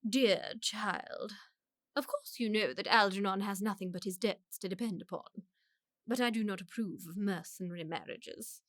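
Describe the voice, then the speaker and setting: An actress playing a haughty upper-class English society matriarch in a radio comedy, making pompous, emphatic, drawn-out pronouncements. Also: female voice, mid-range voice, fairly bright tone, no echo, clean studio sound, close to an everyday voice. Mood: condescending. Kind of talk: radio play comedy of manners.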